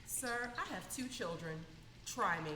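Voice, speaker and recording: A woman's voice: medium pitch, lively intonation, unhurried, lightly acted. A woman playing a feisty, protective single mother in a comic radio drama, forthright and dramatic. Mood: puzzled, firm. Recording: echoey hall, faint background noise.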